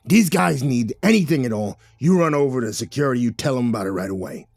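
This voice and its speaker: Man doing a gruff, rumbling mob-style boss. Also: animated, fast, a little mellow, dry recording, studio quality. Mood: emphatic, bossy. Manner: rumbling, slangy, bossy, swearing. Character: Victor, gruff menacing male mob-style movie backer. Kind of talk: audiobook character voice.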